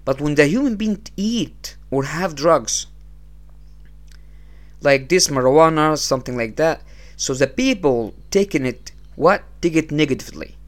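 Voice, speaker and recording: A man's voice: fairly high pitch, animated. A man from Egypt who is not an English speaker hosts a podcast, giving a motivational religious talk with plain, repeated phrases. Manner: unhurried, repeats phrases, non-native English. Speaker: podcast host. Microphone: small low-cost podcast setup.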